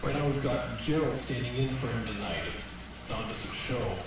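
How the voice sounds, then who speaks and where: A man's voice: medium pitch, moderate pace, natural voice. Man acting a meek producer, echoey room. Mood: nervous, meek. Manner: hesitant, apologetic, meek. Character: timid, deferential male TV producer. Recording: very echoey, distant, noisy room.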